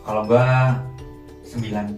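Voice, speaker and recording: A man in his twenties, a student, talking in a relaxed, slangy, emphatic way. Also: fairly low pitch, slow pace. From Indonesia. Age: 20s. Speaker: student interviewee. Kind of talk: casual student interview. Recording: roomy distant mic with clear music.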